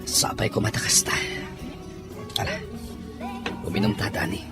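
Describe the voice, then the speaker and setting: A man's voice: normal speed, music in the background, lightly acted. A man acting a troubled father and master of the house in a melodramatic audio drama.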